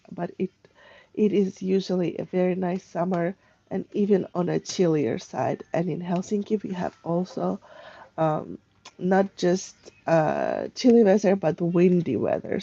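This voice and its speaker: Casting: woman livestream host, soft mellow tone, unscripted rambling chat, slightly dull home audio. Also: medium pitch, no echo. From Armenia. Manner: halting, rambling, conversational. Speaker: live stream host, travel tips. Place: at home, indoors.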